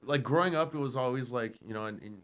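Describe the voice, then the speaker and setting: A man from Canada whose first language is Ukrainian, hosting a podcast in blunt, profane casual chat that swings between loud and quiet. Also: medium pitch, quick pace, smooth. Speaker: podcast host.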